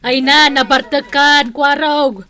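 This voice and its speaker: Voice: high voice, steady tone, takes it slowly, sharp tone, heavily acted. An actor playing a nagging villager in a Philippine radio comedy drama.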